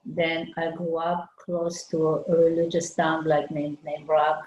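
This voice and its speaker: Older woman from Lithuania, low dark voice, halting delivery, roomy echo on a video call. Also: completely flat delivery, dull audio, a little hiss or hum. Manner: halting, searching for words. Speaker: guest artist. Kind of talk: conversational interview.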